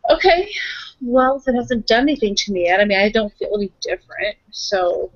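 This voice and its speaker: A woman with a fairly high voice plays her character casually in a tabletop roleplay. She thinks aloud and phrases things tentatively. The sound is very dry and a touch muffled. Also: lively intonation, brisk, no echo. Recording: dry, dull lo-fi audio.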